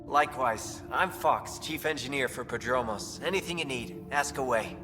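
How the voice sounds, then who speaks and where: A man's voice: medium pitch, moderate pace, lightly acted. Man as down-to-earth colony engineer, wry, casual, video game, long reverb, music behind. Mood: friendly, reflective. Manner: casual, plain-spoken, wry. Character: down-to-earth male chief engineer at a space colony. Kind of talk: naturalistic video game acting.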